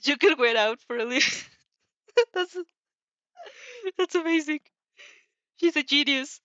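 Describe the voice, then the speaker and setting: Giggly, bright-voiced woman reading fan fiction. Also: high-pitched, slow, dry recording, muffled recording. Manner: giggly, excitable, often breaking off to comment. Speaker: channel host and fanfic reader. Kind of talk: reading fan fiction aloud with casual reactions.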